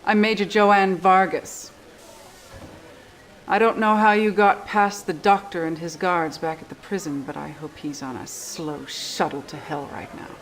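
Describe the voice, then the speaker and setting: An actress in her thirties playing a composed, frank military major in a video game cutscene, dry and measured. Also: lowish voice, steady tone, a little hiss or hum. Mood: frank, bitter.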